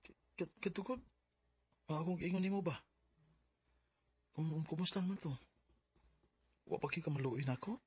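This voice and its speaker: An actor playing an earnest, insecure young suitor in a Filipino radio drama, with a husky voice and a Bisaya accent. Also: male voice, on the high side, moderate pace, long pauses, a little mellow. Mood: pleading.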